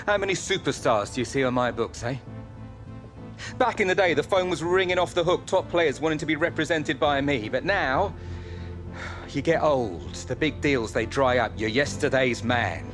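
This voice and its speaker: An actor playing a middle-aged football agent who talks in a pleading, apologetic way. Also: male voice, medium pitch, animated, moderate pace, music in the background, lightly acted. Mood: regretful. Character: aging male football agent, desperate and guilty.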